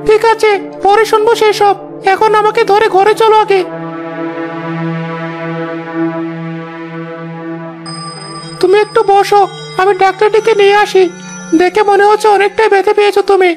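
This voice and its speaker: A woman voicing a mother-devoted, stern grown village son in an animated drama, earnest and commanding. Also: extremely high pitch, fairly quick, faint music behind. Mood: concerned. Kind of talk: animated horror drama acting.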